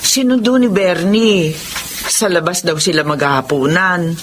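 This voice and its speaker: Woman playing loyal gossipy maid, Filipino accent, deferential, hesitant, medium-low voice, radio drama. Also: a little slow, slight background noise, close to an everyday voice. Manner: hesitant, stammering, respectful. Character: deferential, gossipy household maid, concerned and conspiratorial.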